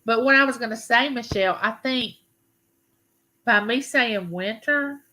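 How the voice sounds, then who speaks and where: Woman, 50s, Southern US accent, bright forceful tone, folksy chatty livestream host. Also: fairly high pitch, slight background noise. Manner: chatty, folksy, drawn-out phrases. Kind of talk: casual live chat with viewers.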